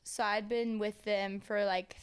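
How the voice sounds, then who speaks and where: A woman's voice: mid-range voice, a little slow, clear, resonant tone. A young woman, a dancer, telling a story in casual chat and acting out the people she quotes. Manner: animated storyteller, acts out quotes.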